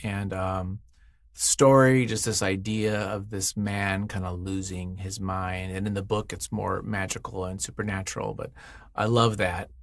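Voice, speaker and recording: A man's voice: low-pitched, level tone, measured pace, no room sound. A man speaking as an interview guest in casual, unscripted chat, effusive and emphatic, often thinking aloud.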